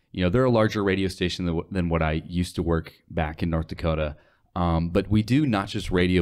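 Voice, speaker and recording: A man in his twenties explaining things conversationally as a podcast guest, on a close studio mic. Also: low-pitched. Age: 20s. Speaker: guest, radio sales associate. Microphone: close studio mic on desk, headphones.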